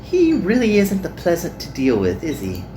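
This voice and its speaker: A woman voicing a kind, earnest young magical girl in a visual novel, with gentle and formal phrasing. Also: on the low side, wildly swooping pitch, moderate pace, a little hiss or hum. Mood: friendly.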